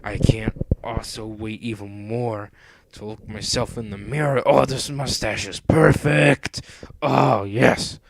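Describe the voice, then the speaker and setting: A man voicing a vain, gloating villainous mad doctor in a storybook, theatrical and drawn-out. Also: fairly low pitch, fairly even intonation, creaky, full character voice. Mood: purring menace.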